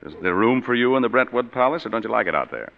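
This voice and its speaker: An actor playing a hard-boiled private detective with dry, sardonic wisecracks, in 1940s radio drama. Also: male voice, medium pitch, expressive, fast, vocal fry. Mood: wry, tough. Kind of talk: 1940s radio drama with first-person narration. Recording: muffled 1940s radio broadcast with long reverb.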